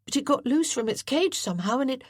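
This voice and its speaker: Storybook boy, earnest and formal, voiced by a woman, high, bouncy delivery. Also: expressive, quick pace, no echo, studio quality. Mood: eager. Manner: precise, formal and proper. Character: eager, earnest well-bred young boy.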